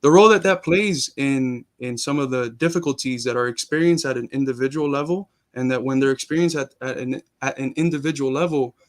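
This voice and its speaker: A man's voice: mid-range voice, a little fast, hardly any pauses. A young man, born in Cuba and raised in Miami, talking earnestly as a guest in a casual interview, with frequent restarts. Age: young adult.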